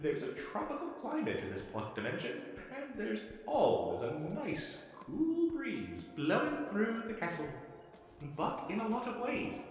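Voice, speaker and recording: A man's voice: sits high, wildly swooping pitch, unhurried, faint music behind, full character voice, rough recording. Man playing a stammering, swooping wizard salesman. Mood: enthusiastic. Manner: chatty, stammering, hesitant sales patter. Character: eager, pushy wizard salesman hawking magical castles. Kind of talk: scripted comedic fantasy roleplay.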